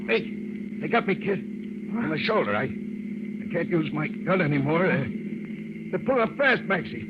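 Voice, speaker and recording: A man's voice: fairly high pitch, big pitch swings, normal speed, noisy background. A tough gangland big shot from an old radio crime play. He talks in slangy, domineering bursts and trusts nobody. The recording is dull and aged. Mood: panicked, accusing. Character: tough, suspicious, bullying big-shot male gangster boss. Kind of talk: old-time radio crime drama acting.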